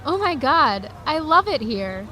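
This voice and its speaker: An actress with an American accent playing an enthusiastic, curious visiting woman scholar in an educational radio drama, with clear, simple phrasing. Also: high voice, sing-song, a little slow, background noise. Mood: delighted.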